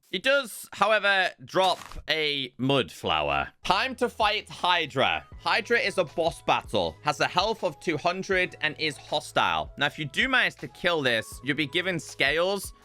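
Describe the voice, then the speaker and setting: A man giving game showcase commentary as a video host, in an expressive, emphatic presenter's delivery. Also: a little high. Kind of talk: game showcase commentary, part scripted narration, part live reaction. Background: music.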